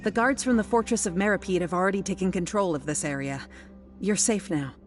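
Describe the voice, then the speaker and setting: A woman's voice: mid-range voice, brisk, soft background music, lightly acted. A woman voicing a dutiful, respectful guard in a video game, speaking politely and earnestly. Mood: dutiful, reassuring. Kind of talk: video game character acting.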